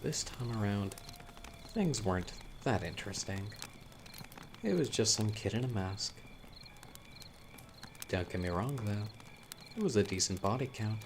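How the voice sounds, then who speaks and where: A man playing a young newcomer telling eerie local legends by a campfire in a horror audio roleplay, conversational and teasing with dramatic pauses. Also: fairly low pitch, lively intonation, a little hiss or hum. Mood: casual, intrigued. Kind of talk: casual spoken storytelling in horror audio roleplay.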